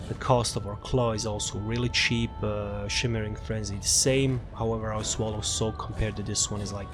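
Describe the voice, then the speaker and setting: A man's voice: fairly low pitch, little pitch movement, normal speed. A man giving casual, off-the-cuff video game commentary as he presents a build guide, rambling and repeating his phrases. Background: music.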